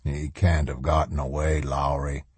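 Man as a deep, rough, ruthless colonel. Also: unhurried, dry recording. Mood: menacing. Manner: forceful, curt, ordering. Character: ruthless masked male colonel, cold, commanding, menacing.

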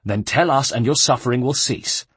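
A man voicing a brutal guard captain who taunts and threatens loudly. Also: mid-range voice, moderate pace, breathy edge, dry recording, voice pushed up. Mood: menacing. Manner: loud and taunting. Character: brutal male guard captain, sneering bully.

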